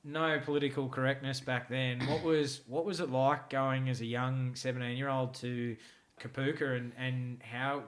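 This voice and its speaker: A man's voice: medium pitch, fairly even intonation, normal speed. An Australian man hosting a podcast interview, stumbling over repeated words as he asks questions. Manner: stammering restarts when asking questions. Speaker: podcast host. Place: guest's home.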